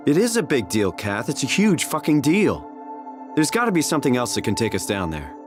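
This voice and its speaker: A man's voice: mid-range voice, wildly swooping pitch, fairly quick. A man with a North American accent playing an anxious, doubting everyman in a video game, talking in a questioning, hesitant, emotional way. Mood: upset, protesting. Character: anxious, doubting everyman lost in a hostile world. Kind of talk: video game voice acting, naturalistic dialogue. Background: music.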